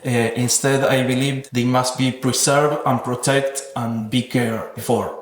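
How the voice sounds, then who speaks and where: A man's voice: mid-range voice, level tone, unhurried. Reflective man in an echoey room. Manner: thoughtful, academic wording. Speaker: interviewed sound artist. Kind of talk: reflective interview talk about own work. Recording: echoey, roomy sound.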